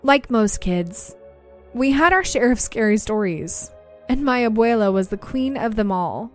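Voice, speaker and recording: Chatty woman podcast host, slightly high voice. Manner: chatty, laughs easily, reads stories aloud. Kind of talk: casual chat and reading listener stories.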